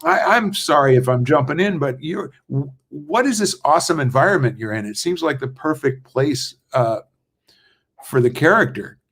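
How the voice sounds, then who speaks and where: A man chatting casually as a playwright on a video call, enthusiastic and somewhat halting in his phrasing. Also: on the high side, animated, a bit bright, slightly rough. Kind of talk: casual chat.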